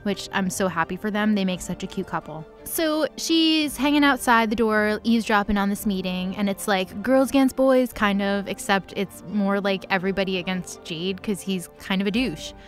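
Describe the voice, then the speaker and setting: A woman tells a story recap in a casual, off-the-cuff way, with music playing underneath. Her voice sits at a middling pitch, and her phrasing is sarcastic and valley-girl, peppered with filler words for comic effect. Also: moderate pace, hardly any pauses. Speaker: recap narrator.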